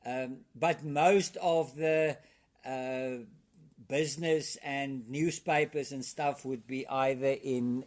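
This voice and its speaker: A middle-aged South African man with an Afrikaans accent, a guest in a casual interview, talking haltingly with many fillers and restarts. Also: medium pitch, a little mellow.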